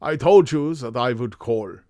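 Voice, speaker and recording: A man's voice: measured pace, a little mellow, no room sound, studio quality, lightly acted. A man voicing an imperious, secretive Bohemian count with a harsh voice and a German accent, formal and emphatic, in an audiobook. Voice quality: deep, harsh.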